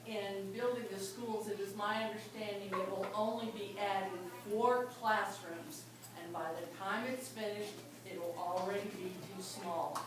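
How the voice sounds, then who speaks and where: A woman in the audience asking a question at a town forum, speaking loudly and plainly, with hesitations. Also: mid-range voice. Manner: loud, plain-spoken, hesitant. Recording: echoey hall from far off.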